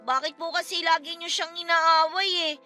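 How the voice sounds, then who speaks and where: A woman's voice: very high voice, steady tone, normal speed, bright, forceful tone, faint music behind. A woman playing an earnest, respectful young daughter who questions and pleads in a radio-style drama. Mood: pleading, upset. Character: young daughter, earnest, polite, devoted to father.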